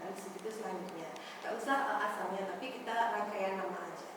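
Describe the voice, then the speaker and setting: A young woman leads a school group counseling session, friendly and often stumbling over filler sounds. Her voice sits at a middle pitch and comes from a distance. It echoes around a classroom over a steady background hiss. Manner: friendly, halting with many fillers. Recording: echoey room from far off, hiss.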